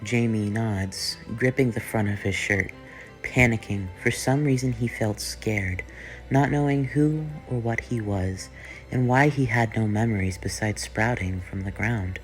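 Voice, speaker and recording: A man with a lowish voice reads his fan story aloud at a very unhurried, measured pace. Faint music plays behind him throughout. The delivery stays soft and even. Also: low-quality recording. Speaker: fan fiction reader.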